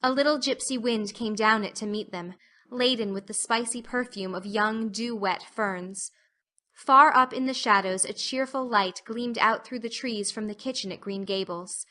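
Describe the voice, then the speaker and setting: A woman narrates a classic novel as a scripted audiobook. Her voice sits somewhat high and has a bright, forward tone. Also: normal speed.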